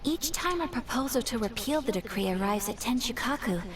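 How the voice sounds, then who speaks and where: Woman doing a polite young noble lady for a game, high n gentle, very proper, bit far from mic. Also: lively intonation, noisy background, natural voice. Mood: solemn. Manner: formal, measured, refined. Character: poised, gracious young noblewoman, gentle and earnest. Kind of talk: scripted game dialogue.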